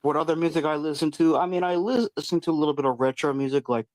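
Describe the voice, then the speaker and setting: A man's voice: on the high side, fast, a little mellow, dry recording. A man chatting as a guest on a live show, easygoing and a bit hesitant. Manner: easygoing, hesitant, with fillers and restarts. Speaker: guest caller. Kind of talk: casual chat.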